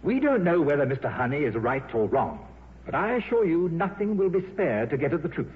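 An actor playing a formal, courteous aviation chief in a 1950s radio drama. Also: male voice, on the high side, big pitch swings. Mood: formal, concerned. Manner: formal, measured. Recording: tinny, noisy 1950s radio broadcast.

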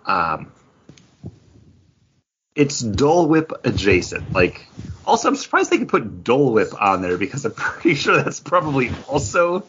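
A man's voice: mid-range voice, wildly swooping pitch, normal speed, fairly bright tone, slight background noise. A man chatting casually as a podcast co-host. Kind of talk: casual conversational podcast chat. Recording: dull, lo-fi podcast audio.

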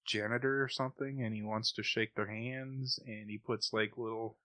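A man's voice: fairly low pitch, steady tone, moderate pace, bright, forceful tone, dry recording. A man co-hosting a podcast, reviewing an episode in a casual, joking style. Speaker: podcast co-host.

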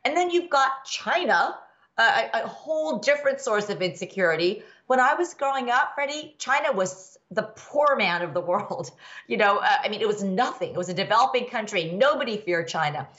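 A woman's voice: on the high side, lively intonation, moderate pace, a bit bright, lo-fi sound. A woman in her fifties from the American Midwest, answering interview questions candidly with many fillers and restarts. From United States, Midwest. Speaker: guest expert, law professor.

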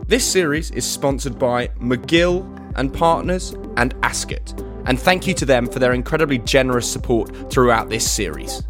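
A man's voice: medium pitch, animated, normal speed, few pauses, over music. A man with a British accent, speaking as the host and interviewer of a podcast. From United Kingdom. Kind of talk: podcast introduction and interview chat.

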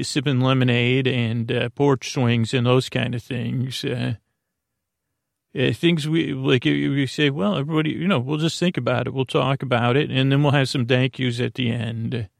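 Man, gravelly creak, medium pitch, dry close sound, rambling bedtime monologue, hesitant, drifting podcast host. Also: dry recording. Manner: meandering, hesitant, trailing off into tangents. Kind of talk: rambling bedtime monologue to help listeners sleep.

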